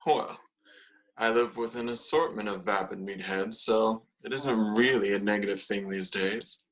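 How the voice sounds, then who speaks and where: A man's voice: fairly low pitch, steady tone, measured pace, fairly bright tone, poor audio. A man playing a sardonic, judgmental young man who hides behind dry jokes, in a comedy table read. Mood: dry, judging. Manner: dry, sarcastic.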